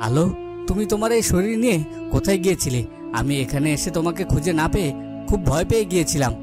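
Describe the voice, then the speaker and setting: A man's voice: fairly high pitch, expressive, fast talker, close to an everyday voice. A man playing an anxious, devoted fairy-tale king in a cartoon, fretful, repetitive and melodramatic. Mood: anxious, questioning. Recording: music behind the voice.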